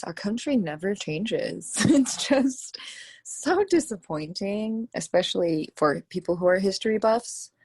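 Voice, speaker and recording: A woman's voice: lowish voice, a little mellow, husky. A young woman hosting a casual podcast chat, talking in a thoughtful, earnest way. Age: young adult.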